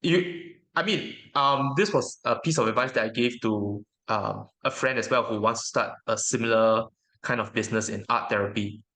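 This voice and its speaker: A man whose native language is Mandarin, giving casual interview answers as a guest in a reflective, hesitant way with frequent pauses. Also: medium pitch, fairly bright tone, rough recording. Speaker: interview guest, tabletop game educator.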